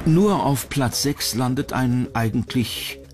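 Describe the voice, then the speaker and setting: A man's voice: medium pitch, takes it slowly. A man delivering scripted documentary narration. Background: background music.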